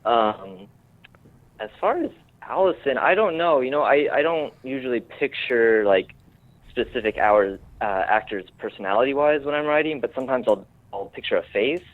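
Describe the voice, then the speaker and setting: A man chatting casually as an interviewed screenwriter, informal and self-deprecating, often halting as he searches for words. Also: mid-range voice, lively intonation, dry recording, narrow, tinny audio. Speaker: screenwriter guest.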